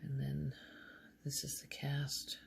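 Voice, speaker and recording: A woman hosting an ASMR video, speaking softly in a casual, rambling show-and-tell way and often slipping into a whisper. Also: rumbling low, completely flat delivery, takes it slowly, creaky, very noisy.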